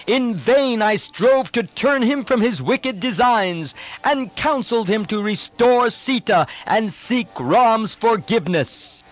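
A man voicing a noble, courageous demon prince who declaims calmly, clearly and earnestly in an epic story. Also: very high-pitched, voice pushed up, full character voice.

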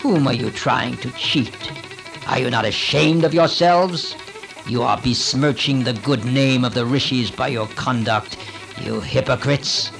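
A man voicing a gigantic man-eating demon in a storybook epic, roaring, boastful and threatening, with slow, taunting emphasis. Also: mid-range voice, gravelly, music in the background. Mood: menacing, scornful.

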